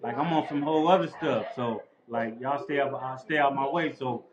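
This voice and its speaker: A man from Los Angeles telling his life story in a relaxed, casual way, full of street slang and repeated "bro". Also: medium pitch, normal speed, reverberant, highs cut off, crowd noise. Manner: laid-back, repetitive street slang with frequent "bro". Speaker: interview guest. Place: outdoors near a house.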